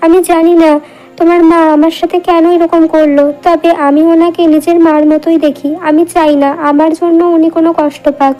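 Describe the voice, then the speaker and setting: A woman playing a gentle, forgiving young village daughter-in-law in a cartoon. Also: extremely high pitch, flat delivery, brisk, faint music behind, poor audio. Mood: gentle, pleading. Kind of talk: Bengali moral-tale cartoon dubbing.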